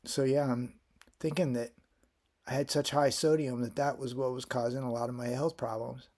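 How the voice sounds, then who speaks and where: Middle-aged man from Florida for a relaxed solo vlog; loose, rambling delivery with frequent restarts. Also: medium pitch, normal speed. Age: 50s. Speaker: vlogger. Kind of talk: casual personal vlog.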